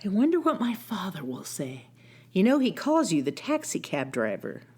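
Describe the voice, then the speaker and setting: A woman plays a sweet young teacher in a radio story. Her mid-pitched voice moves up and down expressively. She comes across as practical and in love. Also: wildly swooping pitch, normal speed, a little mellow, breathy edge. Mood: concerned, fond.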